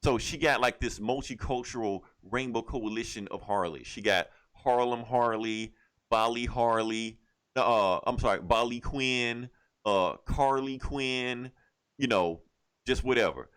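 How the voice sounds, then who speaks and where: A man's voice: mid-range voice, unhurried, fairly bright tone, clear, resonant tone. A man co-hosting a podcast, casually retelling a story in a rambling, irreverent, slangy way with plenty of swearing. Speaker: podcast co-host. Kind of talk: casual recap of a comic book, profane banter.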